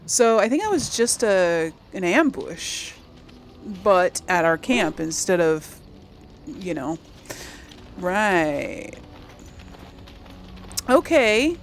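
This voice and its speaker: Slow-paced, animated woman playing a game. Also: on the low side, slight background noise. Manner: talks to the game and its characters, thinks aloud. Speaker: gaming video host. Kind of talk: casual let's play commentary.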